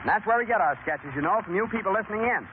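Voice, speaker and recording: American man, radio quiz host, high pitch, chatty, folksy, 1930s presenting, thin scratchy recording. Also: expressive, very fast. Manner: chatty, folksy, addresses listeners directly. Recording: scratchy, narrow-band 1930s radio, noisy. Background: clear broadcast noise.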